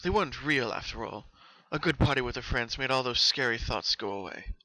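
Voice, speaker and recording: A man's voice: mid-range voice, fairly quick, sharp tone, dry recording, poor audio. A man narrating a horror story as a solemn, dramatic reading.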